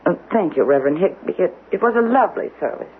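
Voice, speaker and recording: A woman's voice: on the low side, expressive, fast, lightly acted. A woman playing a polite, worried churchgoer in a 1970s radio drama, speaking in a genteel, careful way. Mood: worried, reticent. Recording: muffled lo-fi radio recording with faint noise.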